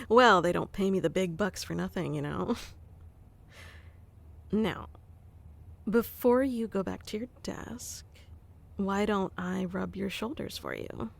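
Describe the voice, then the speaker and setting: A woman doing a soft-spoken ASMR roleplay, teasing and commanding yet doting, close to the mic. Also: mid-range voice, slow pace, vocal fry, pauses often. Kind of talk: ASMR roleplay, playing a bossy affectionate boss.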